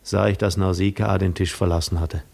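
Weary, lonely Greek wanderer, lowish voice, measured literary storytelling for a 1950s radio play. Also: male voice, steady tone, vocal fry, a little mellow. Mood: brooding. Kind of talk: 1950s German radio drama narration.

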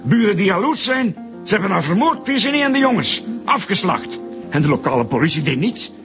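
Aggrieved, haunted man with a highish voice. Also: very animated, brisk, a bit bright, faint music behind, full character voice. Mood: bitter, agitated. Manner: agitated, grumbling, suspicious. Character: aggrieved, haunted middle-aged man reporting murderous neighbours. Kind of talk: naturalistic radio drama acting.

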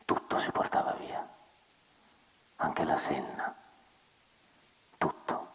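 A man giving a dramatic literary recitation in hushed, sparse phrases with long pauses. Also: in a whisper. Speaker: actor reading from a novel on stage. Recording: live hall reverb, faint audience.

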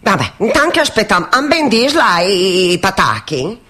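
An actor playing a simple, evasive farm worker at confession in a radio drama, hesitant and repetitive, with a Modena-area Emilian accent. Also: male voice, high voice, sing-song, moderate pace, bright, forceful tone, breathy edge, heavily acted. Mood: rambling. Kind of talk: radio drama acting in dialect.

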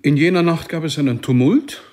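A man's voice: a little high, brisk, a little mellow, lightly acted. An actor playing a proud, money-minded, controlling father and court official in a radio drama, talkative and insistent. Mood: dramatic. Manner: talkative, insistent, tags questions with "nicht?".

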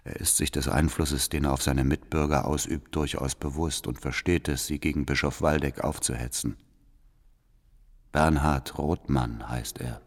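Very deep-voiced, calculating church spy. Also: male voice, steady tone. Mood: deferential, watchful. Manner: formal, deliberate, deferential. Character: devout, calculating male church spy writing reports. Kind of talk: radio drama letter monologue. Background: faint music.

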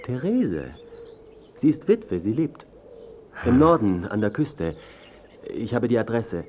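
An actor playing a pushy, self-important male biographer in a radio drama, smooth-talking and evasive. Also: medium pitch, very animated, takes it slowly, lo-fi sound, slight background noise. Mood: insistent. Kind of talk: radio drama dialogue and narration.

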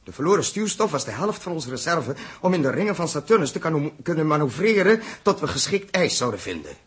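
An actor playing a composed, practical spaceship captain in a 1960s radio drama, with a husky voice. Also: male voice, a little high, very animated, fast, heavily acted. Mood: firm, commanding. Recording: 1960s radio play recording.

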